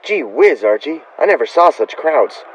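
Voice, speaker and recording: A man's voice: on the high side, sing-song, moderate pace, lo-fi sound. An actor playing a wisecracking, whiny, pestering teenage boy sidekick in old-time radio comedy style. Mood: complaining, wry.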